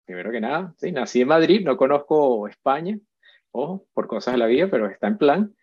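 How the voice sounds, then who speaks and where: A chatty man in his forties speaks as a guest in an interview. He often checks in with a quick question and restarts his phrases. The sound is dull and lo-fi, with a dry, close feel. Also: medium pitch, expressive, slightly rough, dry recording. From Venezuela. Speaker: guest expert. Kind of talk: casual interview talk.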